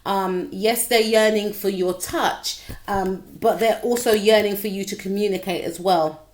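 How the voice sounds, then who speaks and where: A woman with a slightly croaky voice giving a chatty tarot reading straight to her viewers, often checking in with them. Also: mid-range voice, steady tone, measured pace, a little mellow. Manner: chatty, repetitive, addresses viewer directly. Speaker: tarot reader. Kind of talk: casual tarot reading to camera.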